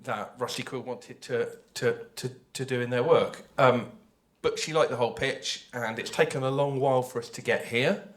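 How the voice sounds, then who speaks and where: A man's voice: medium pitch, normal speed, few pauses. A man giving an informal panel presentation, conversational and hesitant, often correcting himself. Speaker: panelist, audio drama creator. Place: festival panel before an audience.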